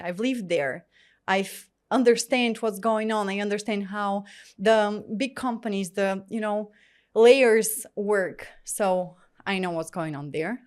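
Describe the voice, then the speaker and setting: A woman from Bulgaria with a Bulgarian accent, speaking English as a guest in a casual interview, in an emphatic, animated way. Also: mid-range voice. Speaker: interview guest, marketing freelancer.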